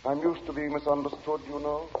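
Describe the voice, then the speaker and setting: Casting: male actor as brooding, preachy gentleman lodger for vintage radio thriller, formal delivery, faintly noisy dull sound. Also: on the high side, flat delivery, brisk, long reverb tail, voice pushed up, lightly acted. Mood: wounded, righteous. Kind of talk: 1940s radio acting.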